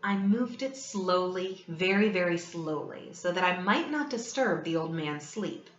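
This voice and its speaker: A woman playing a gleeful, obsessive mad murderer who boasts of cunning, in a theatrical gothic story reading with deliberate pauses. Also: fairly low pitch, expressive. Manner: theatrical, deliberate pauses, repeats words with relish. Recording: slightly dull, roomy sound.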